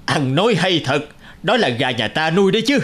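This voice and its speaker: An actor playing a pompous, greedy middle-aged mandarin in a cartoon folk tale, haughty and self-satisfied. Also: male voice, a little high, big pitch swings, a little fast, fairly bright tone. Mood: self-important.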